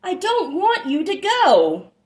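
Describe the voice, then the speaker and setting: An anxious little elephant calf from a picture book, voiced by a woman reading aloud. The voice is very high and swoops around. Its questions are plaintive and pleading, full of longing for its mother. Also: wildly swooping pitch, takes it slowly, darkish tone, reverberant, exaggerated voice. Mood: pleading, worried. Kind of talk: expressive children's storybook read-aloud.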